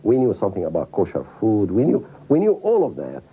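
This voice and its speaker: Husky, very deep man. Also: huge pitch swings, brisk, narrow, tinny audio. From Palestine. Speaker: documentary interviewee. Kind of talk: personal recollection in interview.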